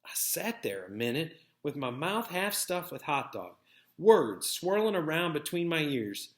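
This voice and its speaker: A man reading a first-person story aloud as narrator, with an expressive, folksy storytelling manner. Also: fairly high pitch, a little slow. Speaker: read-aloud narrator, teacher. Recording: echoey, roomy sound.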